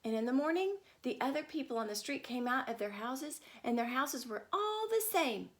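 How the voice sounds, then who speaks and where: A woman reading a picture book aloud to children, expressive and stopping to ask her listeners questions. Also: sits high, normal speed. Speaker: storyteller.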